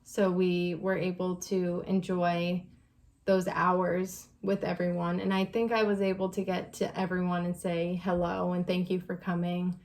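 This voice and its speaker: A young woman shares a story as a vlog co-host. Her voice sits on the low side and stays very even in pitch. She talks casually, in a relaxed, conversational manner. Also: a little slow.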